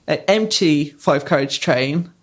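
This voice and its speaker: A man's voice: a little high, a little slow, fairly bright tone. A man chatting casually on a podcast, telling rambling anecdotes with a laugh here and there. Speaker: podcast co-host. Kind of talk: casual storytelling.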